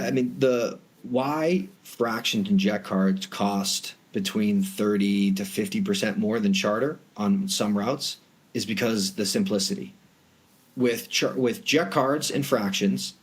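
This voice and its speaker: A man with a Boston accent explaining his industry conversationally and emphatically, as an expert guest in an interview. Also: medium pitch. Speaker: private jet charter broker, interview guest.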